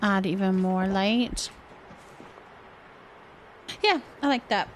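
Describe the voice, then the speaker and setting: A woman's voice: medium pitch, slow pace, slight background noise. A woman hosting a live game stream, chatting casually and thinking aloud as she goes.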